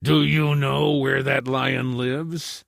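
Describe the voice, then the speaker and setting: A man voicing an angry, domineering lion king with a commanding, drawn-out delivery in a children's fairy tale. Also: medium pitch, level tone, gravelly, a bit bright, no room sound, clean studio sound, exaggerated voice.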